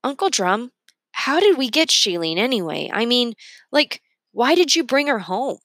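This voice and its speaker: Storybook role: female reader as simple-hearted boy, earnest and frank, bouncy animated delivery. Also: on the high side, normal speed, dry recording, clean studio sound, voice pushed up, full character voice. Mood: curious.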